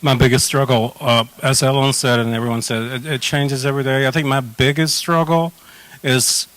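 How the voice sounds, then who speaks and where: A man on a recovery panel, speaking in a measured, assertive way about finding his voice. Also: mid-range voice, flat delivery, fairly bright tone, rough recording. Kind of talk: firm personal testimony.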